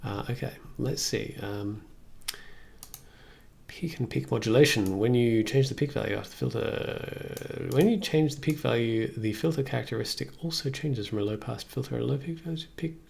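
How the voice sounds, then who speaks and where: A man in his thirties casually thinking aloud as a livestreamer, dry and self-deprecating, with a gravelly, husky voice. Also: mid-range voice, moderate pace, a little mellow. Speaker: live streamer.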